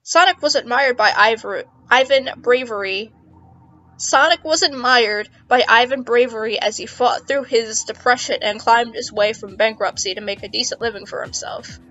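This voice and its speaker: A woman's voice: a little high, moderate pace, sharp tone, lo-fi sound. A woman narrating comedic fan fiction, playful and amused, mixing dramatic reading with casual asides. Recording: music behind the voice.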